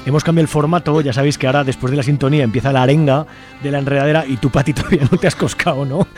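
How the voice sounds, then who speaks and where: A man's voice: mid-range voice, fairly even intonation, racing. A man hosting an informal community radio show, talking colloquially and directly to listeners and guests. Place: radio studio. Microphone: studio microphone. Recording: music playing behind the voice.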